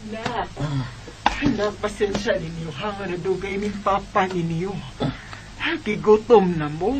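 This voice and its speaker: A man acting a poor father who quietly apologizes to his children, in a homemade radio drama. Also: high-pitched, a little slow, heavy background noise. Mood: apologetic.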